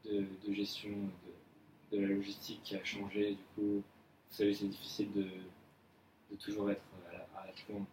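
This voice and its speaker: A young man plays a polite, earnest employee in an amateur office role-play, stumbling through his answers with fillers and repeats. His voice sits low and barely moves in pitch. It is recorded from a distance in an echoey room with a faint hiss behind it. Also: monotone, moderate pace, a little mellow, lightly acted. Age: young adult. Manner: hesitant, many fillers and restarts. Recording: echoey room, mic far off, faint noise.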